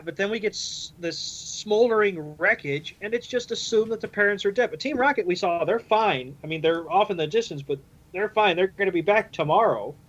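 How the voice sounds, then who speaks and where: A man's voice: high-pitched, lively intonation, a little fast, fairly bright tone, highs cut off. A man chatting casually on a podcast panel, recapping and explaining things step by step. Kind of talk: casual episode recap discussion.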